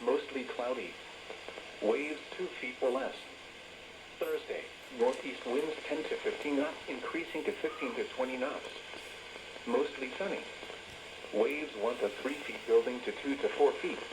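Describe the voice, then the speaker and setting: An automated male voice with an American accent reads a scripted weather bulletin over the radio in a flat, measured, synthetic way. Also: medium pitch. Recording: noisy weather radio broadcast, strong static.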